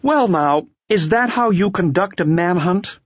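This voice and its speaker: A male reader plays an imperious rich woman in an audiobook, her pitch lifted far above a man's natural voice. She is short-tempered and demanding, and the recording is slightly dull and husky. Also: animated, unhurried, no room sound. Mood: indignant. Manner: indignant, demanding.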